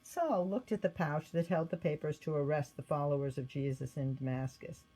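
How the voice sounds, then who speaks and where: A woman reading a scripted story aloud as narrator, with expressive delivery. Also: low-pitched, normal speed, poor audio. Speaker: storybook reader.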